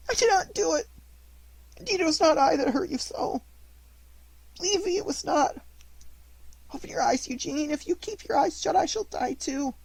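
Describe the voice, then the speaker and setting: A reader voicing a mischievous wooden puppet boy in a storybook, high and pleading. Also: breathy edge, no echo, rough recording. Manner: high, wailing, desperate pleading. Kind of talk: storybook reading.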